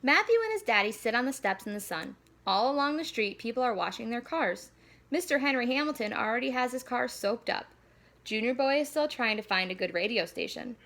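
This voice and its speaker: A woman reading a children's storybook aloud in an animated, deliberate storyteller delivery. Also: a little high. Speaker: school staff member reading aloud.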